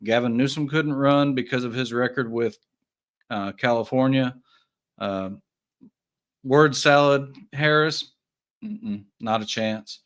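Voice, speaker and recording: Man, guest caller, casual opinion talk, folksy phrasing, medium pitch. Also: takes it slowly, long pauses, a bit bright.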